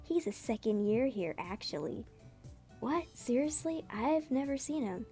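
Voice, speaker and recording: A woman's voice: on the high side, lively intonation, unhurried, dark tone, soft background music. A woman voicing gossipy, bantering school delinquents in a casual, teasing webcomic dramatic reading.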